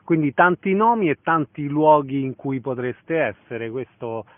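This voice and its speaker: A man's voice: a little high, animated, unhurried, dry recording. A man hosting a conversational arts interview on the radio, putting questions to a guest. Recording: narrow, phone-like radio sound.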